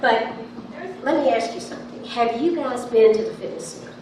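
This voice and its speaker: A woman's voice: on the high side, brisk, darkish tone. A woman with a big voice speaking at a town hall meeting, insistent and impassioned, repeating herself to press her point. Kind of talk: public comment at a meeting. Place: large echoey meeting room. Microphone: handheld microphone from a distance. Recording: echoey room, distant mic, background noise.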